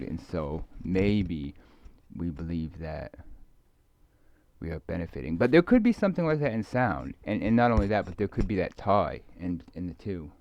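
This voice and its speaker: A middle-aged man giving a rambling, musing ASMR talk, halting and repeating himself as his thoughts meander and trail off. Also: sits low, a little mellow, no echo. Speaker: ASMR video creator. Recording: muffled lo-fi close microphone, dry.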